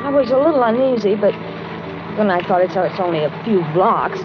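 A woman's voice: medium pitch, expressive, a little fast, music in the background, natural voice. An actress playing a polite, trusting young American carhop in a 1940s radio suspense play, hesitant and confiding as she grows uneasy. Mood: hesitant recounting. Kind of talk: 1940s radio suspense acting, narrated in first person. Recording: crackly, muffled 1940s radio recording.

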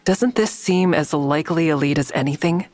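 An actress playing a wry, persistent amateur investigator in an audio drama, speaking in a matter-of-fact, persuasive way. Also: female voice, low voice, normal speed, darkish tone, no echo, close to an everyday voice. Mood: persuasive, earnest. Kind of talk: naturalistic audio drama dialogue.

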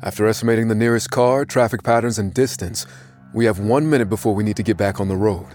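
A man voicing a mysterious disembodied helper on a phone, calm, precise and matter-of-fact, in an audio drama. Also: lowish voice, fast, faint music behind, lightly acted.

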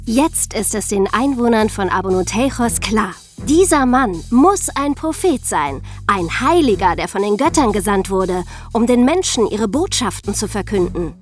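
A woman hosting a podcast, telling and explaining scripted stories in a chatty way for young listeners. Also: medium pitch. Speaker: podcast host and narrator.

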